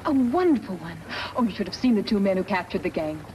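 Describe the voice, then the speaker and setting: A woman's voice: mid-range voice, sing-song, fast, natural voice. A young woman playing an eager newspaper reporter in a 1950s radio western, earnest and chatty. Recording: old radio recording, faint noise.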